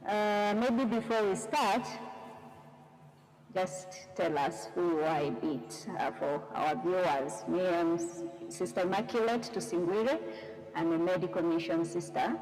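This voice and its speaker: Nun hosting a live chat, mid pitch, reverby room, faint music under. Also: female voice, unhurried. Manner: warm welcoming, hesitant with fillers. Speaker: religious sister, interview host.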